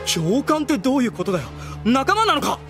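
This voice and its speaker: A brash young nobleman in an anime audio drama, high-voiced and swinging widely in pitch. He sounds petulant, insecure and quick to whine, with music behind him. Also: very animated. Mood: confused, indignant. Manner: brash, rough-spoken, whining when cornered. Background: background music.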